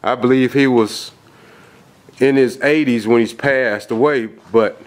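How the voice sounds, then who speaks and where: A man with a cold and a nasal voice, filming a folksy, rambling walkthrough of a dark house basement on a handheld camera, with lots of fillers and restarts. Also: mid-range voice, fairly even intonation, measured pace. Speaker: outdoors video host. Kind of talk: casual vlog walkthrough.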